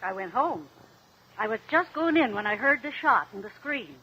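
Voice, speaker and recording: A woman's voice: a little high, animated, moderate pace, slightly rough, muffled recording. An American woman playing a plainspoken neighbor housewife who gives curt, emphatic testimony in a mid-century radio drama. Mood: insistent, curt. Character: blunt, plainspoken neighbor housewife and mother on the stand.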